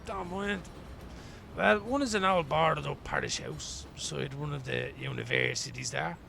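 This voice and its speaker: An actor in a radio play playing a weary, wry homeless man from Dublin, rambling colloquially in a gravelly voice. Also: on the high side, normal speed, bright, forceful tone, a little hiss or hum, full character voice. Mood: wry, candid. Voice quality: gravelly, creaky. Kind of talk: radio play acting as an interviewee.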